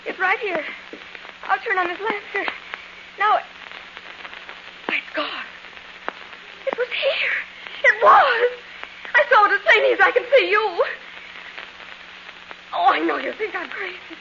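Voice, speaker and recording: A woman's voice: very high-pitched, animated, creaky, heavily acted. An actress playing a fragile young woman who fears for her sanity, breathless and pleading, in 1940s radio thriller style. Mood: frantic, terrified. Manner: breathless, halting, pleading, theatrical. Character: frightened, fragile young niece doubting her own sanity. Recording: dull, noisy old 1940s radio recording.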